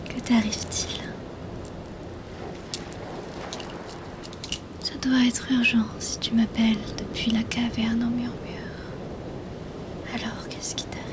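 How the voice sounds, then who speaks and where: Here is a mysterious mermaid healer, acted by a woman, whispering in a fairly high feminine voice. Her intonation stays very level and hushed, intimate and teasingly questioning. She is a shrewd, curious bargainer in an ASMR fantasy roleplay. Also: lightly acted. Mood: curious, coaxing.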